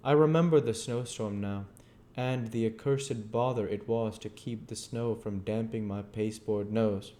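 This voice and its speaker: A man's voice: fairly low pitch, steady tone, unhurried, hardly any pauses, mellow, natural voice. A man voicing an arrogant, bitter, volatile scientist on the run in a storybook, declaiming emphatically and indignantly. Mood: eager, boastful.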